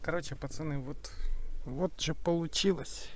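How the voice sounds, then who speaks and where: A man's voice: fairly high pitch, moderate pace, a little hiss or hum. Young man chatting casually in a truck cab. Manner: relaxed, chatty, explaining what he does. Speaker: truck driver vlogger. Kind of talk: casual vlog commentary while working. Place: outdoors by a truck and inside its cab.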